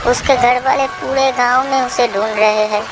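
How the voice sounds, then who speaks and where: A woman's voice: fairly high pitch, steady tone, normal speed, lightly acted. A woman playing an inquiring villager in an audio story, speaking in a familiar, direct way. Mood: concerned. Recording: very noisy, loud water ambience.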